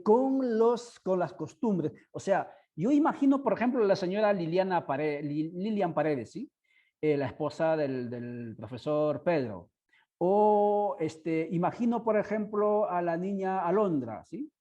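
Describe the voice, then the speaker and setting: A man who speaks Spanish as a second language, hosting an online discussion in a halting, informal way, with many fillers and tag questions. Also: high voice, dark tone. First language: not Spanish. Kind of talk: informal spoken commentary to an online audience. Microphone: headset on a video call.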